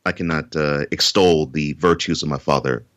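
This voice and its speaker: A man with a very deep voice speaks earnestly as a guest on a podcast. He grew up in Houston, Texas, and often repeats a word before his sentence gets going. The sound is dry, with little room echo. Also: steady tone, normal speed, a bit bright. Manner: earnest, repeats words when starting sentences. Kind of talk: interview conversation.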